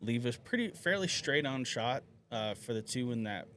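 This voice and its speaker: A man's voice: mid-range voice, animated, normal speed, a bit bright. A man co-commentating a live pool match, chatty and opinionated, often restarting his sentences.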